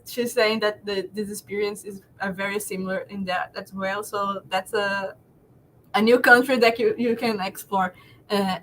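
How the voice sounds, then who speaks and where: A woman's voice: medium pitch, fairly bright tone, slight background noise, low-quality recording. A woman who hosts an online event, thanking guests and introducing speakers, with many hesitant fillers. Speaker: event host.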